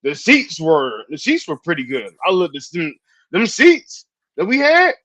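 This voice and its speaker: A man chatting as a podcast guest, laid-back and repeating himself, with a hoarse edge. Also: fairly high pitch, wildly swooping pitch, a little slow, a bit bright, no echo. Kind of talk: casual chat. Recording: dry, dull lo-fi sound.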